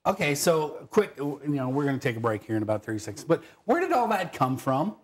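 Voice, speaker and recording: A man's voice: medium pitch, fairly quick. A middle-aged man hosting a local television interview show in a studio, talking in a folksy, chatty way. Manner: folksy, chatty, lively intonation. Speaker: TV talk show host. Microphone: lapel mic.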